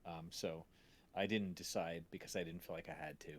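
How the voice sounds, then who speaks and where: A man in casual conversation as a co-host, talking in a halting, reflective, self-correcting way. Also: low voice, fairly even intonation, slight background noise. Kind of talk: casual conversation about audio mixing.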